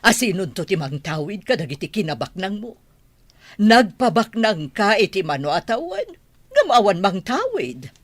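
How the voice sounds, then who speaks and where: A woman's voice: medium pitch, sing-song, normal speed, dry recording. A woman playing a nagging, teasing older village mother in an Ilocano comedy radio drama, with an Ilocano accent and music under her. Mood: coaxing, nagging.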